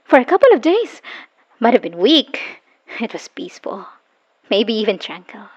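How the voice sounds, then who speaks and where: A woman acting a wounded, bitter woman leaving her abusive partner, in an emotional, halting dramatic audio roleplay monologue. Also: a little high, sing-song, breathy edge. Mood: anguished, scornful. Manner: emotional, halting, sighing, dropping into whispers. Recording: dull lo-fi voice recording.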